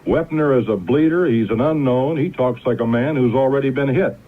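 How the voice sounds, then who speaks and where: A man reads network sports news with a crisp, slightly wry delivery. The sound is thin and tinny, like a 1970s radio broadcast. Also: medium pitch, a little fast. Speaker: network newscaster.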